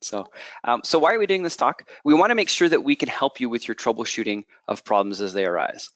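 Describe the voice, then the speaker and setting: A Canadian man in his thirties, a product manager walking through a software presentation, explaining at length with frequent fillers, restarts and a checking "okay". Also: mid-range voice, lively intonation, fast talker, no echo.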